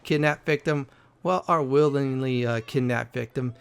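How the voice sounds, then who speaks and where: A man giving casual, halting gameplay commentary as a gaming channel host. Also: medium pitch, gravelly, clear, resonant tone. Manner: halting, frequent hesitations.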